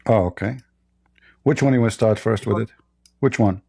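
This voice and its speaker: Gravelly man, mocking debater. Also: on the low side, normal speed, warm tone. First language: Arabic. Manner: mocking, argumentative, uses rhetorical questions. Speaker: debate show host. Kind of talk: call-in religious debate.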